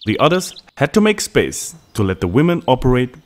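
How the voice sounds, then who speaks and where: A man delivers scripted documentary narration in a mid-pitched voice. His intonation is very lively, rising and falling widely across each sentence. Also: a little fast, slight background noise.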